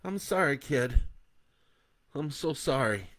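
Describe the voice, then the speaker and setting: A man's voice: medium pitch, expressive, slow, a bit bright, pitched above the performer's own voice. A man voicing a middle-aged father and grandfather, speaking in a strained, urgent way. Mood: grieving.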